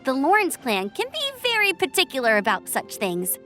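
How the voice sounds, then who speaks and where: A woman voicing a cheerful young female knight scout who talks in a friendly, lively, chatty way in a game cutscene. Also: sits high, normal speed, heavily acted. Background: game music.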